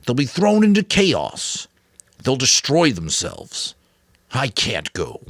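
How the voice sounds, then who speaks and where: A man's voice: medium pitch, wildly swooping pitch, no room sound, studio quality, pitched above the performer's own voice, heavily acted. A man voicing a weary but firm old mentor, grave, caring and earnest, in a dramatic audiobook reading. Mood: firm, alarmed. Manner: earnest, emphatic, deliberate.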